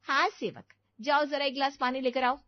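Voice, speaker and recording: A woman's voice: high voice, little pitch movement, moderate pace, no echo, dull audio, natural voice. A woman voicing a spoiled, lazy young married daughter in a story, bossy and teasing.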